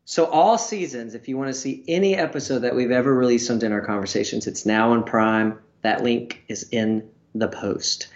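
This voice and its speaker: A man's voice: mid-range voice, moderate pace, few pauses, highs cut off. A man co-hosting a casual live stream, chatty and giggly, who likes to tell stories. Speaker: co-host.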